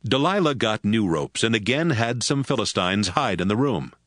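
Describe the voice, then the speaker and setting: Low-voiced male scripture narrator. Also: expressive, moderate pace, fairly bright tone, no room sound, studio quality.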